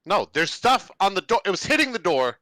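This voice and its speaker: A man playing a tabletop game, bantering casually in a wry, animated way. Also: high-pitched, a little fast, dry recording.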